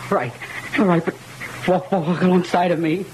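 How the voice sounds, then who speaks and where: An actor playing a panicked, jittery husband in a 1940s-style radio horror drama, stammering, breathless and urgent. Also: male voice, high-pitched, fairly even intonation, normal speed, darkish tone, natural voice. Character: panicked husband, jittery, trying to keep control. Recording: noisy old radio drama recording.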